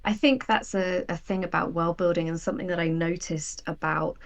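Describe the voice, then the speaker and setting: A woman chatting casually as an interview guest, telling things in an animated, anecdotal way. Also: fairly low pitch, fairly quick. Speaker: guest, sci-fi writer.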